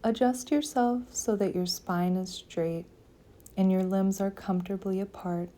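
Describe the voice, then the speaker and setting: A woman leading a guided meditation, giving calm, gentle, soothing instructions with long pauses between phrases. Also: medium pitch. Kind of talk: guided yoga nidra meditation.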